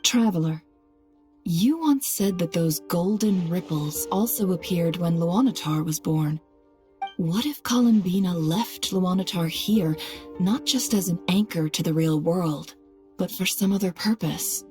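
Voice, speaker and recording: Woman playing a low-voiced, composed female leader. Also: darkish tone, faint music behind, close to an everyday voice. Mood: pondering. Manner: commanding, measured, wry. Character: composed, proud, resolute woman who leads a secret organization. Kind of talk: dramatic game cutscene acting.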